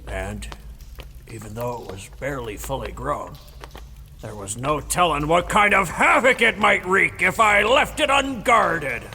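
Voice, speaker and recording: An old battle veteran for audio drama, gruff and defensive, with a bright, creaky voice. He rambles like a storyteller and stammers when challenged. The sound is very reverberant, with music behind. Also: fairly high pitch, animated, measured pace, slight background noise, full character voice. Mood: haunted, weary. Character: gruff, defensive old male war veteran and dragon-slayer, Abraxas.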